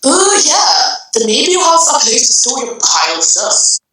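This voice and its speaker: A woman voicing a snide, teasing female character in an amateur comic dub, with drawn-out mocking delivery. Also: high voice, big pitch swings, bright, forceful tone, very echoey, faint music behind, pitched above the performer's own voice, heavily acted, poor audio.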